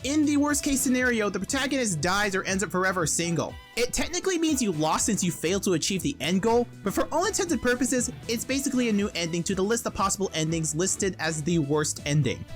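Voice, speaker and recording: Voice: mid-range voice, lively intonation, fast talker, few pauses. A video essay host reading scripted comedic narration in a wry, irreverent manner. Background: background music.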